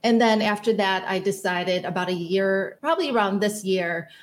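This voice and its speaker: A woman's voice: mid-range voice, moderate pace. A woman in her forties, from the Chicago area, talking openly about her own story as an interview guest. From North Shore of Chicago. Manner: open, talkative, storytelling. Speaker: health coach guest. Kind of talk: casual interview.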